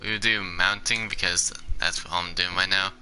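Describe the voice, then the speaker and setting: A man's voice: deep voice, steady tone, moderate pace, bright, forceful tone, faint music behind. A young man giving casual, rambling commentary while playing a game, leaning on "basically" and laughing often. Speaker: gaming YouTuber.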